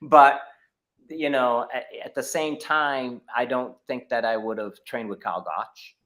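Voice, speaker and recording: Bright-voiced Boston man telling stories. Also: medium pitch, steady tone, measured pace. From New England, United States. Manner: rambling, many restarts and fillers. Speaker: guest. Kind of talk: casual podcast chat.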